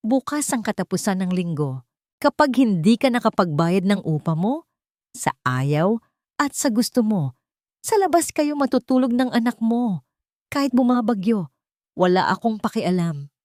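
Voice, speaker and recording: A woman's voice: mid-range voice, sing-song, moderate pace, long pauses, a little mellow, no echo, clean studio sound, voice pushed up, close to an everyday voice. A woman voicing a mean, threatening middle-aged landlady in a dramatic audio story, harsh in manner. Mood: threatening, scornful.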